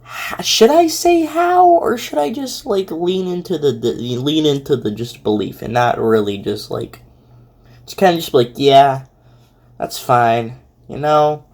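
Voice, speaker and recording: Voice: medium pitch, animated, a little slow, a little mellow. A commentator giving casual, rambling reactions, thinking aloud hesitantly in slangy everyday words.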